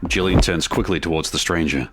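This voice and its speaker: A man's voice: deep voice, brisk, very noisy. A man giving scripted narration for an audio drama.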